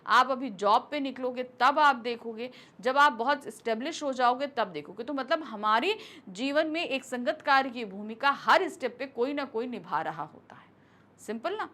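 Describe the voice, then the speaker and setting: A woman's voice: high voice, lively intonation, normal speed, sharp tone. A woman teacher goes through exam questions, patiently asking and answering her own questions. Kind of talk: exam question walkthrough lesson.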